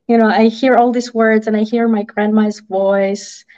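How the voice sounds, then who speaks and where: A woman with an Armenian accent speaks in a fairly high voice. She is a native Armenian speaker, talking conversationally as an interview guest. The video-call sound is a little dull. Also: level tone, moderate pace, mellow. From Armenia (Artsakh). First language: Armenian (Artsakh dialect). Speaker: picture book author, interview guest. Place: indoor room, video call. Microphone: video call microphone.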